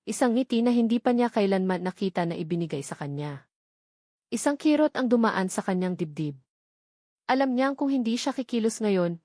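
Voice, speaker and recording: A woman's voice: mid-range voice, a little mellow, dry recording, clean studio sound. A woman with a Filipino accent narrating a scripted romance story as an audiobook.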